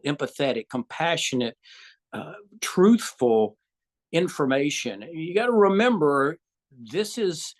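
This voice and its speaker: A man in his sixties with a Southern US accent, a physician answering interview questions in a measured, thoughtful way with frequent pauses. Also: fairly high pitch. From Southern United States. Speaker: physician guest.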